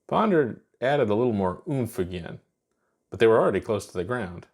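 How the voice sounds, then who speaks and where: A man's voice: on the low side, big pitch swings, moderate pace, darkish tone, husky. A man narrating a scripted fiction story as its reader.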